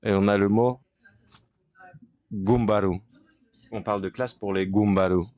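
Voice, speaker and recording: A man interpreting a panel discussion in real time from an interpreter's booth, with a fairly low voice. He talks in halting bursts, pausing and restarting as he keeps up with the speakers. The sound is dry and close, with no room around it. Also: steady tone, a little mellow, no echo, lo-fi sound. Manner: halting delivery with frequent pauses and restarts. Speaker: conference interpreter.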